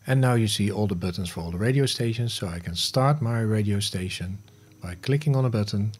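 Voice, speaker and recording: A man in his fifties narrating a step-by-step software tutorial in short instructional phrases, recorded on a close studio mic. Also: lowish voice, fairly quick. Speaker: host of smart home tutorial videos. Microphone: close studio mic on a boom arm.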